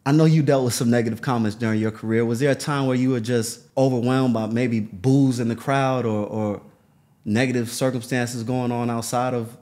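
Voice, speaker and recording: A young adult man co-hosting a casual podcast, playful and joking, asking questions on a studio boom mic in a high-rise room. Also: steady tone, moderate pace, a little mellow. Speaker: podcast co-host. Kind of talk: casual chat. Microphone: studio mic on boom arm.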